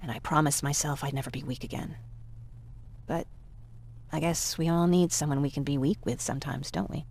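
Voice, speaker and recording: Wry young survivor woman, creaky voice. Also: low voice, fairly even intonation, fairly quick, darkish tone, exaggerated voice. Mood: wistful, reflective. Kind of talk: naturalistic game cutscene acting.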